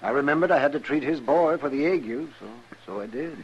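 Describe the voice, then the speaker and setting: An American man playing an older, soft-hearted frontier doctor in a 1950s radio western, halting and gentle. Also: on the high side, slightly rough, dull audio. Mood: regretful. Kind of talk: 1950s radio drama acting. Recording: noisy old radio broadcast.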